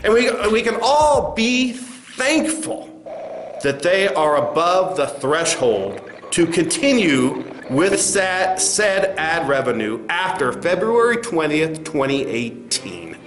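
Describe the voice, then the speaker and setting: Man playing a smug, high-voiced corporate spokesman. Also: big pitch swings, a bit bright, heavily acted. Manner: smug, patronizing, halting asides. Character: smug, condescending male corporate spokesman addressing angry protesters. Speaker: company spokesman. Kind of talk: satirical sketch, mock press conference. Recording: roomy echo, faint music behind.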